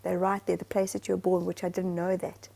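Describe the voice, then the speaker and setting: A woman from Zimbabwe talking casually as a podcast co-host, rambling and animated with many restarts. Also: on the low side, brisk, creaky. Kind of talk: casual chat.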